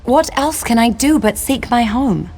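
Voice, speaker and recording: Noble elven lady for a fantasy game: long imprisoned, formal, theatrical, higher register, soft music underneath. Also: animated, unhurried, husky, exaggerated voice. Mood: distraught. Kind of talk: video game character acting.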